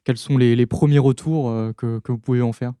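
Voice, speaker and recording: A man's voice: mid-range voice, steady tone, brisk, mellow, clear, resonant tone, no room sound, clean studio sound. A man interviewing guests, asking questions with searching, hesitant phrasing.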